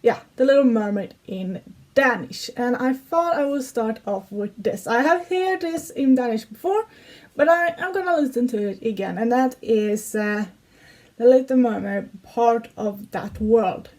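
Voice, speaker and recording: A giggly young woman with a Swedish accent, talking casually for a reaction video. Her voice sits fairly high and she takes her time, pausing and restarting as her English slips now and then. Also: darkish tone. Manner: chatty and giggly, slightly broken English grammar. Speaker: YouTuber reacting to songs.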